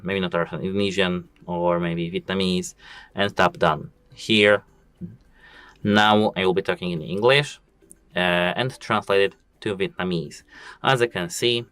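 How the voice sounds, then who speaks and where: A man with a very low voice hosts a casual how-to video. He walks through each setting step by step and keeps pointing things out with "as you can see". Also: fairly bright tone, no room sound. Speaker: tech tutorial host. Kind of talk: casual phone how-to walkthrough.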